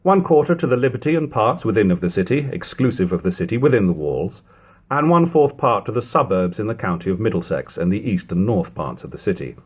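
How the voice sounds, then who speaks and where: A man reading an old historical narrative aloud in a measured, expressive way, as an audiobook reader. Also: lowish voice, husky. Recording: lo-fi amateur home recording.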